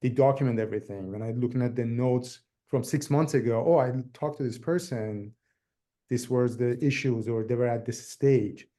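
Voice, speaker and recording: Mellow-voiced man with a Persian accent. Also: medium pitch, moderate pace. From Iran. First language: Farsi. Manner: loose, run-on sentences with restarts. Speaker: guest realtor. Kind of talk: casual interview chat.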